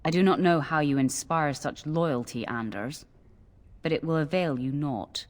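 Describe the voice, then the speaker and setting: An actor playing a self-righteous, menacing templar knight in a fantasy game, speaking formally with haughty accusation. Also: male voice, on the high side, moderate pace, lightly acted. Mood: stern, accusing. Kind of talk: fantasy game dialogue acting.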